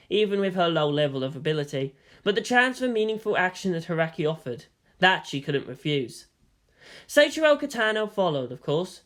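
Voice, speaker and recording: A narrator reading fan fiction aloud in a steady, even storytelling manner. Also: medium pitch.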